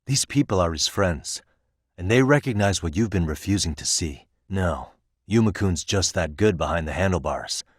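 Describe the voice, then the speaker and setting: A man's voice: sits low, animated, normal speed, no room sound, clean studio sound. A man playing a cool, principled, easygoing investigator in an English-language video game, speaking in a casual, self-assured and earnest way. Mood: stern, pleading.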